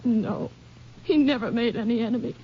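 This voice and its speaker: High, breathy, fragile bereaved wife. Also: female voice. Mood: subdued. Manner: halting, emotional. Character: fragile, bereaved young rural wife and mother. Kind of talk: 1940s-50s melodramatic radio acting. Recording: very muffled old radio recording.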